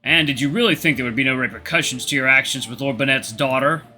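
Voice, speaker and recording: Man playing a stern, bright-voiced scolding king. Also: medium pitch, fast talker, faint music behind. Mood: disapproving. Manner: formal, reproachful. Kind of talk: storybook character voice.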